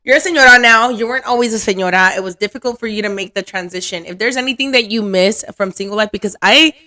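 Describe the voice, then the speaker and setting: A woman's voice: medium pitch, fast talker, pushed and bright. A woman in her thirties hosting a casual podcast chat, blunt, swearing freely and laughing often. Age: 30s. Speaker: podcast host.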